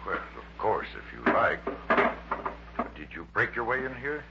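Muffled vintage radio with a faint hum. a polished company boss in a radio play, deep and very animated, formal and guarded with an indignant edge. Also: male voice, a little slow. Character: polished, evasive male company boss under suspicion. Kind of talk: 1960s American radio drama acting.

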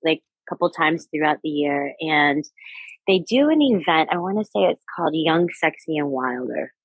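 A woman's voice: sits low, lively intonation, husky, no echo, low-quality recording. A woman in her forties, a sex educator, talking enthusiastically in a casual interview and laughing easily. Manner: enthusiastic, upbeat, quick to laugh.

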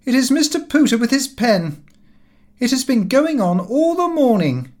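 A man voicing an indignant office clerk in an audiobook, complaining in a loud, protesting way. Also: very high voice, very animated, moderate pace, pitched above the performer's own voice. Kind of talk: audiobook character voice.

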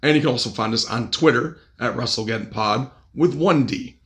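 A man hosting a podcast with crude jokes, his voice raspy from a cold. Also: mid-range voice, a little fast. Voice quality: gravelly. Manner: crude, joking, upbeat. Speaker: podcast host. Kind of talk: casual podcast chat.

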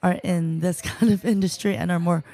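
An American woman talks easily as a podcast guest and giggles between answers. Her voice is lowish and gravelly with plenty of fry. She slows down when she spells things out. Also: fairly even intonation, mellow. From United States. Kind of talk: casual interview chat.

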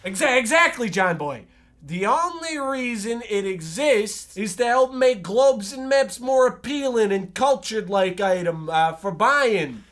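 A man playing a confident, scheming boy hero with halting, mock-earnest speech in a radio serial parody. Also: high-pitched, expressive, fairly bright tone. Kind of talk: hobby old-time radio parody acting.

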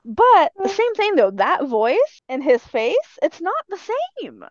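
A woman's voice: high voice, big pitch swings, slow, no room sound, dull audio. A woman co-hosting a podcast in casual chat, telling things as stories and acting out little quotes. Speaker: podcast co-host.

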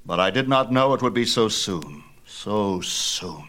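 Man acting proud god of myth, fatherly, imperious, theatrical, emphatic, fairly low voice, storybook drama. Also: animated, unhurried, fairly bright tone. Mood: grave, pleading. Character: proud, mighty sun god father, booming and quick-tempered.

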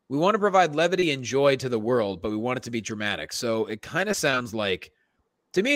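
Animated man in a close, dry recording. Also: medium pitch, no room sound. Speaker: podcast co-host. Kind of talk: casual comedy banter and mock-dramatic reading.

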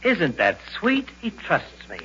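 A noisy, muffled 1940s radio recording of a pompous, sarcastic doctor. His high voice swoops with exasperation and ornate scorn. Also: huge pitch swings, slow, bright, forceful tone, full character voice. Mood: offended. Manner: ornate mock-threatening insults, sardonic. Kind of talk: 1940s radio comedy acting.

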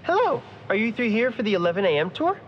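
A woman's voice: on the high side, very animated, fast. A woman playing an efficient, polite tour guide in an audio drama, with a presentational manner. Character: chirpy, efficient female printing-plant tour guide. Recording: dull sound, faint noise.

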